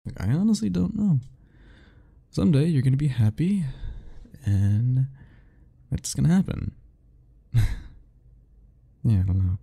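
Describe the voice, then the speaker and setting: A man's voice: medium pitch, very animated, a little slow, gravelly, pauses often, dark tone, slightly rough. A young man doing an ASMR roleplay as a teasing, easygoing roommate talking to the listener, laughing often.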